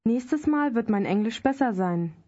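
A woman's voice: on the high side, animated, warm tone. A woman modeling phrases for a language course, saying each one carefully. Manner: careful, deliberate pronunciation. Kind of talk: scripted phrase repetition. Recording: dull lo-fi studio recording.

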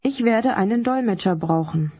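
A woman modelling phrases for learners in a language course, enunciating each one carefully and deliberately. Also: a little high, lively intonation, breathy edge. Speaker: native speaker in language course. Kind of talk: slow model pronunciation. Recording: dull lo-fi recording.

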